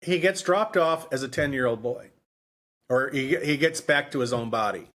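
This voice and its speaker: A man in his fifties with a mid-range voice talks as a podcast host in a casual book discussion. He feels his way through sentences, pausing, restarting and doubling back on phrases. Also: medium pitch, a bit bright. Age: 50s. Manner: halting, circling back and repeating phrases.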